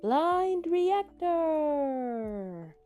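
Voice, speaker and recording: Woman as a loud, high-pitched event announcer. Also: sing-song, extremely slow, soft background music, heavily acted. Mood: dramatic. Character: brisk event announcer on an intercom.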